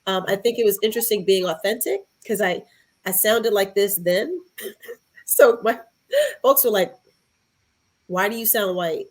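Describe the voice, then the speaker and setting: A woman's voice: medium pitch, measured pace, pauses often. A woman from Harlem in New York City, talking casually and reflectively as a podcast guest.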